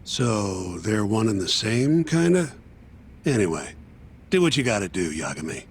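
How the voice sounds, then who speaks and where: An actor playing a gruff, cynical male police detective who talks in a sarcastic, crude, dismissive way, in a game scene with music behind. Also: fairly low pitch, big pitch swings, measured pace, slight background noise. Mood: sardonic. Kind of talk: game dub acting.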